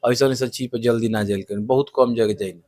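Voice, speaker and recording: A man's voice: medium pitch, steady tone, brisk, a little mellow, slight background noise. A man with a Bhojpuri accent speaking casually, as in an interview.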